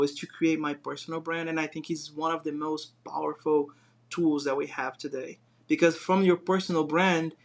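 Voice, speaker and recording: A man from Brazil with a Brazilian Portuguese accent, a guest talking casually and rambling with many fillers and restarts. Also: on the high side. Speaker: guest, design business owner. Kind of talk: casual interview chat.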